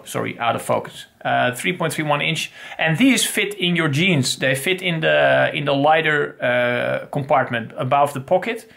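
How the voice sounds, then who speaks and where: A man's voice: a little high, gravelly, sharp tone. A middle-aged man casually reviewing pocket knives on his own channel, talking in a loose, rambling way with many fillers and restarts, recorded at home on a desk webcam. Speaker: channel host. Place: home study with bookshelves.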